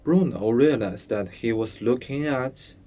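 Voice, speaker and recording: Slow-reading young man narrating a story. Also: mid-range voice, mellow. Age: young adult. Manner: halting, deliberate reading with stumbles. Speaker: student reader. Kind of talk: storybook read-aloud narration.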